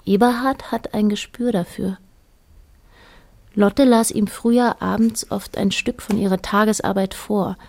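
A woman's voice: mid-range voice, fairly even intonation, measured pace, gravelly, a little mellow. A woman narrating a literary radio play as a detached, observant storyteller, in short plain sentences.

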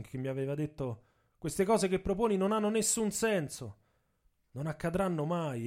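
A man's voice: on the high side, a little mellow, close to an everyday voice. A man playing a proud, indignant young American activist professor in a dramatic radio monologue, telling his story emphatically. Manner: emphatic storytelling in the first person. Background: music with instruments.

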